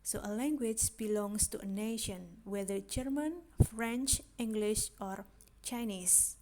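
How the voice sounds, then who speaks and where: A woman's voice: mid-range voice, takes it slowly, mellow. A woman with an Indonesian accent giving a recorded lecture on language teaching. She goes unhurriedly, repeats her points and keeps asking rhetorical questions with a frequent "yeah". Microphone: screen recording on a phone.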